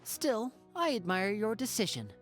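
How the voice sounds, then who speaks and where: Lively, polished young male magician. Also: medium pitch, unhurried, faint music behind. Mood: admiring. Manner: polished, courteous. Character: polite, theatrical young male stage magician. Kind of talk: video game voice acting.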